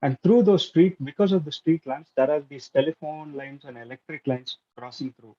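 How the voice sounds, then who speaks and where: A man's voice: fairly high pitch, normal speed. A Tamil-speaking man with an Indian English accent, giving an earnest prepared speech over a video call, with some restarts. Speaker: club speaker. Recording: dull lo-fi call audio.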